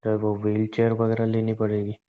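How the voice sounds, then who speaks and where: A man's voice: lowish voice, completely flat delivery, brisk, clean tone, lightly acted. Man playing exasperated husband, teasing, argumentative, conversational, domestic audio drama, lo-fi muffled recording. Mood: reassuring. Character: teasing, exasperated husband bickering with his injured wife. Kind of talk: improvised-sounding domestic audio drama, casual Hindi banter.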